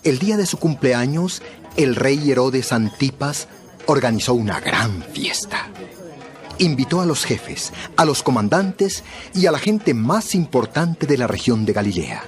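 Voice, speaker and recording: A man's voice: mid-range voice, sing-song, measured pace, faint music behind. A man narrating a dramatized Bible story from a script.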